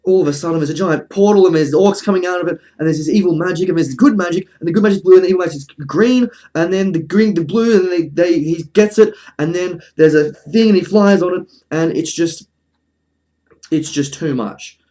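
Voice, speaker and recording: A man's voice: high-pitched, brisk, mellow. A man presenting casual film commentary in an informal, chatty, slightly wry way. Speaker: presenter of a film review video essay.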